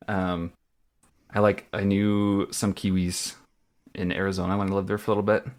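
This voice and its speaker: Deep-voiced chatty young man. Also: flat delivery, a little slow, pauses often, darkish tone. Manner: chatty, lots of fillers and laughs. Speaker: podcast co-host. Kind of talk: casual chat.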